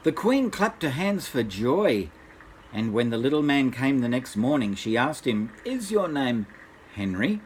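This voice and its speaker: A man's voice: medium pitch, lively intonation, measured pace, a little hiss or hum. An older man, a grandfather, reads a bedtime story aloud expressively, addressing small children.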